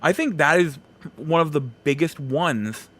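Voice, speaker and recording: Animated man hosting a casual podcast. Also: on the high side. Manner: halting, rambling, repeats phrases for emphasis. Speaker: podcast host. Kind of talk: casual solo podcast commentary.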